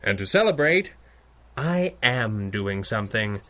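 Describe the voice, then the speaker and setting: A man's voice: on the low side, very animated, a little slow, pushed and bright, full character voice. A man playing a wealthy, unflappable masked crime-fighter and boss, urbane, dry and formally worded, in old-time radio adventure style. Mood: distracted, patient.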